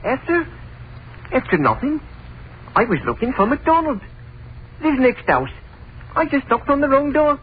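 A nervous witness with an extremely high voice that leaps about. He is excitable and defensive, in old radio style, on a tinny recording. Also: moderate pace, pauses often, background noise. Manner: excitable, protesting innocence. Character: nervous, defensive male witness questioned after a death. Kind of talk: old-time radio acting.

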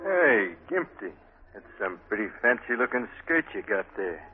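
A man's voice: lowish voice, very animated, moderate pace, faint music behind. A swaggering, sneering New gangster in a 1940s radio play. He is menacing and mocking, heard through a tinny, narrow old broadcast recording. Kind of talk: 1940s radio drama acting.

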